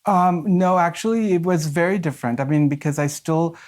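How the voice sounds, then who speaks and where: A man's voice: a little high, fairly even intonation, fairly quick. A man in his forties from Afghanistan, raised in Southern California, talking earnestly as an author guest, with many fillers, restarts and light laughs. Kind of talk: conversational interview.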